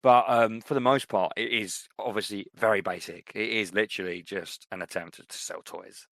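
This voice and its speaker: A man's voice: lowish voice, fairly even intonation, moderate pace, vocal fry, fairly bright tone, no room sound. A man co-hosting a podcast in casual chat, opinionated, with many restarts and fillers. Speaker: podcast co-host.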